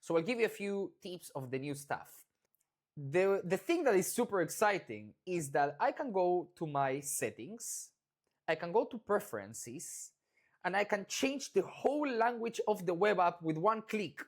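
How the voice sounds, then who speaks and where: A software maker from Argentina presents product updates in English with an Argentine Spanish accent. The voice sits in a middle pitch range. The delivery is casual and enthusiastic, like an informal demo for users. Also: big pitch swings, unhurried.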